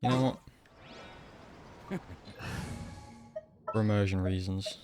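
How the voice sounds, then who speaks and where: A man's voice: on the low side, slow. A man doing casual live gameplay commentary, musing aloud to himself as he streams. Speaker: game livestreamer. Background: game music.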